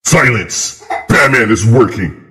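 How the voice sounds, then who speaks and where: A man playing a gruff, menacing masked superhero in a commanding, theatrical way, through a voice changer. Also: medium pitch, animated, slow, bright, forceful tone.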